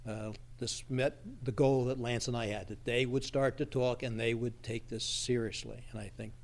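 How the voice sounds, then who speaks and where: A man's voice: medium pitch, fairly even intonation, normal speed, gravelly. A man arguing his view off the cuff as an advisory member at a public meeting, emphatic and opinionated. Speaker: advisory committee member presenting a report. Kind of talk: unscripted discussion at a public meeting. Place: public township meeting room.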